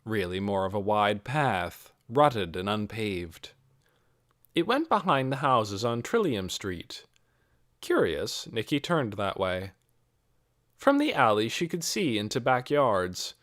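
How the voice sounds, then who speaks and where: Male audiobook narrator for children's fiction, expressive and lively in pitch, very dry recording. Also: takes it slowly, no echo. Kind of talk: scripted narration of a children's novel.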